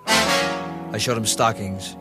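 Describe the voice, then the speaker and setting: Clipped, low-voiced deadpan detective. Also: male voice, takes it slowly, over loud music. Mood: dogged, deadpan. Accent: American. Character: deadpan male police detective, terse and dogged. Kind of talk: radio police drama parody narration.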